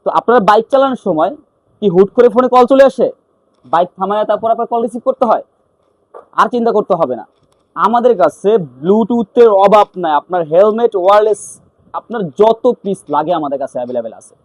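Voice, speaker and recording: A man's voice: high-pitched, animated, brisk. A man with a Bangladeshi accent giving a casual promotional product showcase as a shop presenter, talking straight to viewers with English words and check-in tags mixed in. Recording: clearly noisy, ambient background sound.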